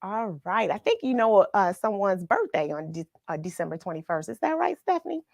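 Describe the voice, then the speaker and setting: A middle-aged American woman hosting a children's read-along livestream, chatting in an encouraging, unhurried way straight to her viewers. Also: mid-range voice, sing-song, creaky, darkish tone, dry recording, studio quality. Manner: encouraging, unhurried, addresses listeners directly. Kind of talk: chatty livestream to viewers, greeting them by name.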